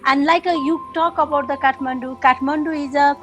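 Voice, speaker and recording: A woman from Nepal with a Nepali accent, a guest in a casual interview, speaking haltingly with many fillers as she searches for words. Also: high voice, fairly even intonation, fairly bright tone, faint music behind.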